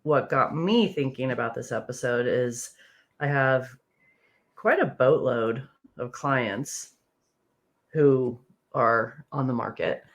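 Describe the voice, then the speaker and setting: A woman therapist co-hosting a podcast, her voice deeper than usual today, talking in a wry, joking way. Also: animated. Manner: wry, quick-witted, joking. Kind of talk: casual podcast chat.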